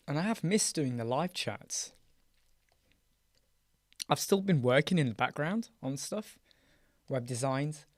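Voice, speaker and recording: British male host, hoarse voice, mid pitch, chatty live-stream delivery, very dry booth. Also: no echo. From United Kingdom. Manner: chatty, greets viewers by name, trails off and restarts. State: hoarse, croaky, clearing his throat. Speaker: live chat show host. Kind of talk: casual live-stream chat with viewers. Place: small dark room with soundproof panels.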